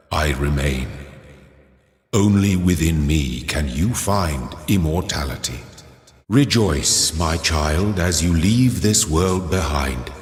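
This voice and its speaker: A man voicing a solemn godlike creator in a video game, speaking in grand, paternal, scriptural oratory. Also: deep voice. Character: solemn godlike male creator of a garden world. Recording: cavernous echo with long reverb.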